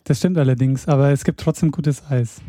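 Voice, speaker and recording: A man co-hosting a casual podcast chat, relaxed and chatty. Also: mid-range voice, brisk, no room sound, studio quality. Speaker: podcast co-host.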